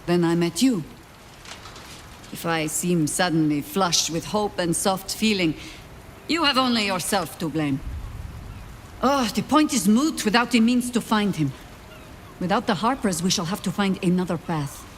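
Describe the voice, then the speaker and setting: A woman's voice: lowish voice, animated, a little hiss or hum. Wry, world-weary older warrior woman. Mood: resolute. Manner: measured, dry, sardonic. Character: wry, world-weary old female warrior, pragmatic leader. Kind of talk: dramatic fantasy game dialogue.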